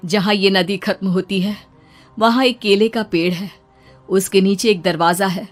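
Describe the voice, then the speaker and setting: A woman playing the earnest ghost of a village wife and mother, explaining things in an animated folk tale. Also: medium pitch, unhurried, faint music behind, close to an everyday voice. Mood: earnest warning.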